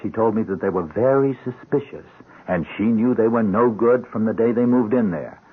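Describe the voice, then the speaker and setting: A loyal police officer, plain-spoken and husky, in a radio drama. His voice sits a little low, and the sound is narrow and tinny. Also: normal speed. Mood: wry, reassuring. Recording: tinny band-limited radio recording.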